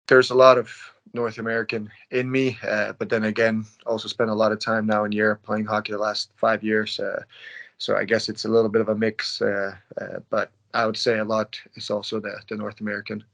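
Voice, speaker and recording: A man in his late twenties from Denmark speaks in a low, very even voice. He answers interview questions casually, with a laid-back, quiet manner, as a professional athlete would. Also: moderate pace, gravelly. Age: late 20s. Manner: laid-back, not loud. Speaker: professional ice hockey player being interviewed.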